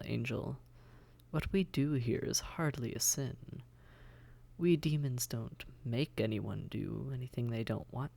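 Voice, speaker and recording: Haughty demon prince for audio roleplay, male actor: slow, refined, gravelly, condescending, seductive, often near a whisper. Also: mid-range voice, a little mellow. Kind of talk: scripted roleplay audio drama, addressed to listener.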